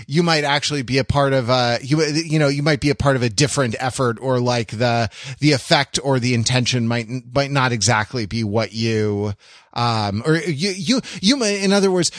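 Halting man in a dry-sounding space. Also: mid-range voice, no room sound. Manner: halting, repeats words while working out points. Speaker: podcast co-host. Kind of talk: casual analytical chat about television.

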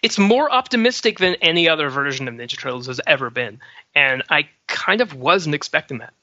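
Male panelist, mid-pitched and sharp, casually analytical and tentative, recorded very dry. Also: expressive, brisk, no echo, muffled recording. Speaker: podcast panelist.

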